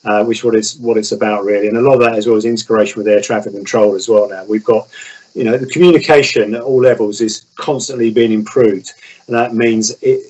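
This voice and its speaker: A man's voice: fairly low pitch, fairly even intonation, fast talker, darkish tone, breathy edge, poor audio. A man talking informally as an interview guest, explaining his field. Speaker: airline pilot, interview guest.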